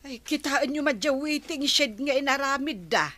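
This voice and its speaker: Radio comedy complainer, disgusted village woman, slightly high, forceful tone. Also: expressive, normal speed. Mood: complaining. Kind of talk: Ilocano radio comedy drama acting.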